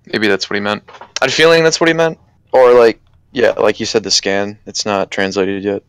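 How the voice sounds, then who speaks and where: A man's voice: fairly low pitch, fairly even intonation, normal speed, smooth, dry recording. A man chatting casually in a livestream voice call while working at a computer. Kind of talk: casual chat. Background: clicking and keyboard typing.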